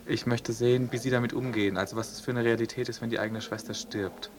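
Actor as tentative, flat-toned probing man. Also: medium pitch, fast talker, a crowd in the background, lightly acted. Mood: earnest, probing. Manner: tentative, repeating himself. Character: earnest, probing male visitor, quietly unsettled. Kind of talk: naturalistic improvised-sounding radio drama.

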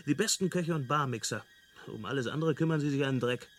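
An actor playing an unflappable galactic hitchhiker in a radio drama, explaining things in a nonchalant, amused way. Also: male voice, mid-range voice, fast talker, slight background noise, natural voice. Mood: breezy, amused. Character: breezy, unflappable male galactic hitchhiker, experienced field researcher.

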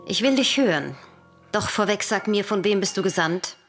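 A woman playing a proud, cold queen in a classical tragedy radio play, with measured, imperious declamation. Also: mid-range voice, lively intonation, fairly bright tone, soft background music. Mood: regal, guarded. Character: proud, cold queen and mother, scornful of her daughter.

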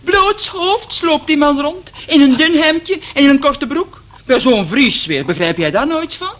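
A woman's voice: high-pitched, lively intonation, a little slow, a bit bright, highs cut off. An actress playing a gossipy, indignant housewife in a radio play, insistent and dramatic in her emphasis. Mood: agitated, insistent. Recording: noisy old radio play recording.

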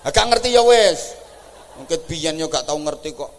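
A man with an East Javanese accent, giving a humorous religious sermon in an animated, teasing way. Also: a little high, moderate pace, slight background noise. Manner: joking, animated, teasing the audience. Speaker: preacher. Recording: echoey hall.

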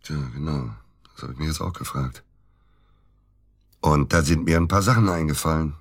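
An actor playing a bitter, agitated middle-aged widower in a naturalistic radio drama, halting and repeating himself for emphasis. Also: male voice, extremely low pitch. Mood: scornful, suspicious.

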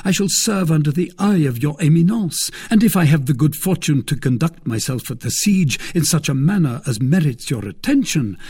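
Man voicing an earnest young guardsman, lively pitch. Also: husky. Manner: earnest, deferential, formal. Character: brave, respectful, wary young male guardsman.

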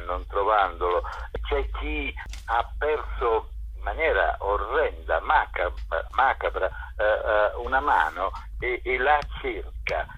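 A man answering interview questions as a festival curator, unscripted and reflective, often pausing to search for words. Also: lowish voice, husky. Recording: dull, lo-fi sound.

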